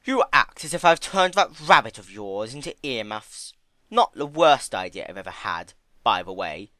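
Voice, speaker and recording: Man playing a sly, theatrical trickster creature, bright. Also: medium pitch, sing-song, moderate pace, no room sound, voice pushed up. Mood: defensive, exasperated. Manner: silky, sneering, theatrical.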